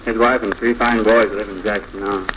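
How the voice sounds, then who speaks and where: A man in his thirties telling his story as a radio interview guest, in a plain, halting way. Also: lowish voice, steady tone. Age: 30s. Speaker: bridegroom, show guest. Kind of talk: unscripted personal storytelling in interview. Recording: faintly noisy 1940s radio recording.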